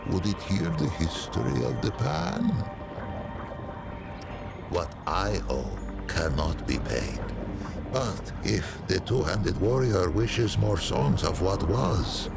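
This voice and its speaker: Very deep, creaky ancient lich. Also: male voice, heavily acted. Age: older. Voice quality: gravelly, creaky. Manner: archaic, ceremonious, savouring each phrase, storyteller-like. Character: ancient male lich, once high priest of the dead, wistful. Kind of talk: theatrical fantasy game acting. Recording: loud music under the voice.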